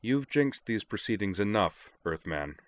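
A man voicing an imperious, sharp-tongued woman in an audiobook, with a haughty, formal, snapping manner. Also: fairly low pitch, sing-song, measured pace. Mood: dry, scornful. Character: imperious, scornful, sharp-tongued woman who despises Earthmen.

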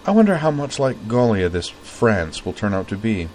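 A man's voice: lowish voice, sing-song, moderate pace, darkish tone, slight background noise, rough recording. A man reading a storybook, voicing a composed young woman who speaks dryly and formally. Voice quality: dry, precise, formal diction.

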